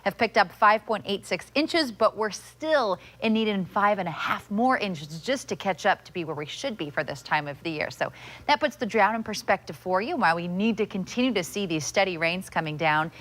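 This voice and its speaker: A woman's voice: mid-range voice, animated, normal speed, a bit bright. An American woman giving a live television weather forecast as a meteorologist.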